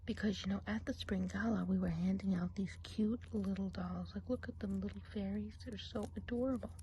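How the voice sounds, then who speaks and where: A woman's voice: mid-range voice, steady tone, brisk, a little hiss or hum, lightly acted, rough recording. Woman playing a whispering, gossipy woodland witch. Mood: confiding, gossipy. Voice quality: breathy whisper. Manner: gossipy, confiding, soft-spoken. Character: gossipy woodland witch giving a whimsical eye exam. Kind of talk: whispered ASMR roleplay.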